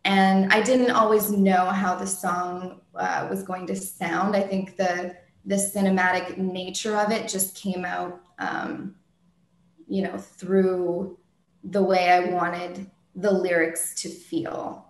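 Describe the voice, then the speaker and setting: A woman, a singer-songwriter, answering interview questions in a soft soprano voice, thoughtful, with many ums and restarts. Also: on the low side, little pitch movement, slow pace, roomy sound.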